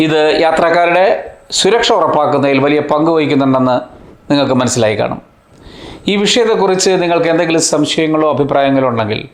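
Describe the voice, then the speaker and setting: A man presenting an educational explainer in deliberate, measured phrases. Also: a little high. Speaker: presenter of an educational video channel. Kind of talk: explanatory narration. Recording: roomy, echoing voice-over.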